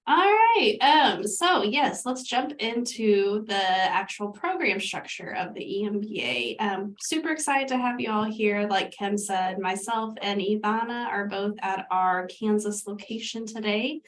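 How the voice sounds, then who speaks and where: A woman's voice: mid-range voice, expressive, measured pace, few pauses, far from the mic. A woman with a congested voice giving a friendly orientation presentation over a call through headphones, with frequent ums. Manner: friendly, uses many ums. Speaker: academic advisor.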